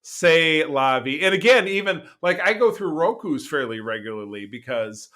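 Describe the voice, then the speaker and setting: A middle-aged man chats as a podcast co-host. His voice sits a little high and has a bright, forceful edge. He talks in a casual, rambling and emphatic way. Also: expressive, normal speed. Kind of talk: casual conversational podcast chat.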